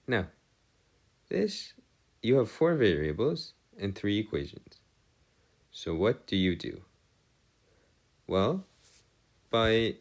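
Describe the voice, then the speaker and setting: Slow, deep-voiced man tutoring. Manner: halting, pauses to double-check himself. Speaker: math tutor. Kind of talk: lesson, working through equations step by step.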